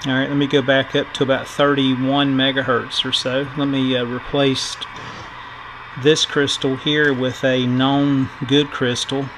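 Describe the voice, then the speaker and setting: A middle-aged man giving a casual electronics tutorial in a halting, thinking-aloud way, as the host of a repair video. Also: medium pitch, little pitch movement. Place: indoor workbench. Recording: clear background noise.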